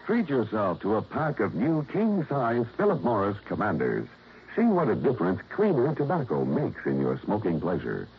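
A man's voice: lowish voice, huge pitch swings, normal speed. A man with an American accent announcing and reading sponsor copy in a polished 1960s radio style. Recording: dull vintage radio broadcast, loud music.

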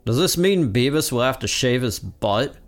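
A man voicing a dim, crude teenage boy from a cartoon, with a slow, hesitant, dopey delivery. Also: mid-range voice, expressive, faint music behind, close to an everyday voice.

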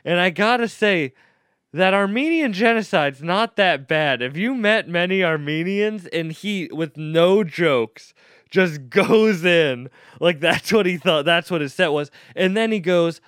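A man who performs stand-up tells a story in a casual chat, acting out the people in it. His voice sits a little high and sounds smooth and clear. The recording is dry and close, with no room sound. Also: measured pace, no room sound. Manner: animated storyteller, quotes people in raised voices. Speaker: stand-up comedian.

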